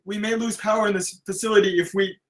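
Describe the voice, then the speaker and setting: A man's voice: high voice, fairly even intonation, quick pace, a bit bright. A man reading an anxious, cautious technician in a screenplay, with a nervous manner.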